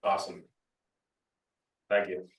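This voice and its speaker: A man's voice: low voice, completely flat delivery, slow, fairly bright tone. A commission member, a man, asking short, casual questions at a meeting. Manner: brief, casual replies. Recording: echoey room, voice far from the mic.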